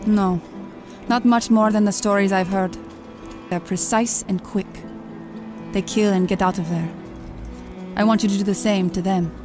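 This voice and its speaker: A woman's voice: medium pitch, fairly even intonation, normal speed, music in the background, natural voice. A woman acting a self-assured, teasing crime boss in an audio drama, with a sardonic delivery. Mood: businesslike. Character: cool, teasing female crime boss who hires killers.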